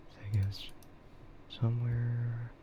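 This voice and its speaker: A man rambling casually and hesitantly in a soft, breathy ASMR whisper, as a streamer chatting close to the mic. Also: medium pitch, level tone, slow, highs cut off, noisy background.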